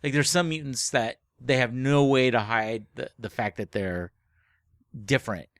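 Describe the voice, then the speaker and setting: Male podcast co-host, mid-range voice, dry close sound, halting conversational delivery with frequent rephrasing. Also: mid-range voice, fairly bright tone, dry recording. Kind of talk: casual book club discussion.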